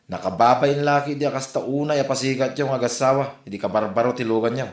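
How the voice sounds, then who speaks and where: A man's voice: medium pitch, fast talker, echoey room, lightly acted. A man playing a griping, indignant buddy in an Ilocano Filipino comedy audio drama, speaking emphatically.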